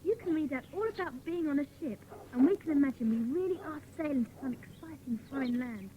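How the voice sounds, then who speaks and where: Excitable young schoolgirl, played by a woman, very high, animated voice, children's radio-play style, slight background noise. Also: fairly quick, muffled recording, close to an everyday voice. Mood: cheerful. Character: chatty, excitable, soft-hearted young schoolgirl of the Secret Seven. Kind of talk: dramatised children's audiobook acting.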